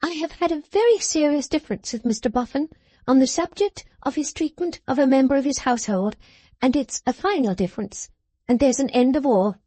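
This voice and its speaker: A woman voicing a spirited, affectionate young woman who speaks briskly and earnestly. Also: high-pitched, lively intonation, mellow, no room sound, pitched above the performer's own voice. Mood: lively earnest.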